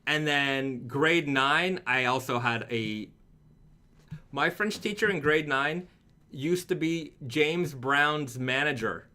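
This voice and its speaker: Bright-voiced man hosting a casual stream. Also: a little high, slow. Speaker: live stream host. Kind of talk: casual product chat.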